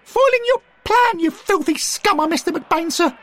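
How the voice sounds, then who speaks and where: A man's voice: extremely high pitch, animated, moderate pace, a bit bright, pitched above the performer's own voice. A man voicing a gleeful young male football fan who mocks and taunts with exaggerated politeness. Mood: gleeful jeering. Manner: mocking, cackling, formally polite insults.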